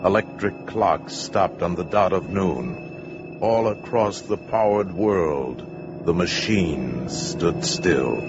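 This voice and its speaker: An actor playing a solemn, dramatic narrator in a 1950s radio science fiction play. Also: male voice, deep voice. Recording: dull old 1950s radio recording. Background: loud dramatic music.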